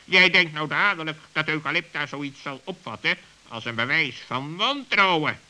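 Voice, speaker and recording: A man's voice: fairly high pitch, animated, normal speed. A man playing a clever, persuasive talking bird with a piercing voice and a pedantic, emphatic manner in a children's radio play. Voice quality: sharp, piercing.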